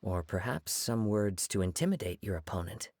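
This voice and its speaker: A man's voice: deep voice, lively intonation, moderate pace, darkish tone, a little hiss or hum. A man voicing a humble, poetic young wandering samurai in a video game, polite, composed and formal.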